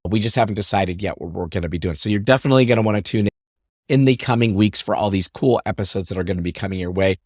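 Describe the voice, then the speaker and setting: A podcast host talks in a chatty, upbeat way and wanders into asides. His voice is on the low side and rough from a lingering cough. He speaks close into a headset, and the sound is dry. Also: fast, darkish tone, dry recording. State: voice rough and lowered after a recent illness and cough. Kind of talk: casual podcast monologue.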